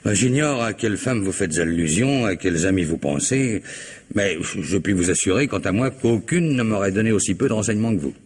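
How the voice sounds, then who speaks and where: An actor playing a stern, probing police commissioner, questioning in a formal, measured way in an old radio drama. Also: male voice, lowish voice, animated, darkish tone, slight background noise, close to an everyday voice. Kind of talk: 1960s French radio drama acting.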